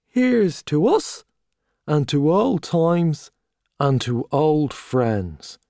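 A man doing a loud boastful old journalist. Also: on the high side, very animated, extremely slow, creaky, dry recording, studio quality, pitched above the performer's own voice, exaggerated voice. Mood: boisterous. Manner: loud, expansive, back-slapping. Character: boastful hearty male Irish journalist, middle-aged.

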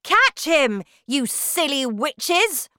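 A woman voicing a cruel, imperious head witch in a storybook, barking drawn-out, menacing orders at her underlings. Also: medium pitch, very animated, a bit bright, no echo, studio quality, heavily acted. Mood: furious, commanding. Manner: commanding, drawn-out, menacing delivery.

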